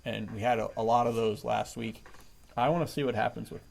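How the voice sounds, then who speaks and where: Enthusiastic man with a gravelly edge, podcast host. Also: mid-range voice, steady tone, a little fast, darkish tone. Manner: enthusiastic, chummy, chuckles often. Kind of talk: casual podcast chat. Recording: faint background noise.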